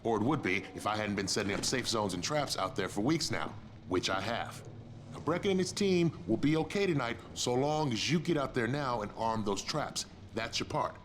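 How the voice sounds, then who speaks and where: A man playing a gruff, no-nonsense survivor in a video game, giving curt, sardonic briefings. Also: medium pitch, lively intonation, normal speed, slight background noise, close to an everyday voice. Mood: businesslike, grim. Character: gruff, no-nonsense male survivor handing out jobs. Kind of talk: video game dialogue acting.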